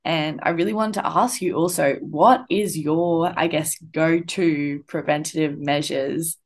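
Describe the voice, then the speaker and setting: A woman's voice: deep voice, fairly even intonation, measured pace. A young woman hosting a casual podcast conversation, talking in a reflective way with lots of "like" and "um". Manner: reflective, uses many fillers like "like" and "um". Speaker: podcast host.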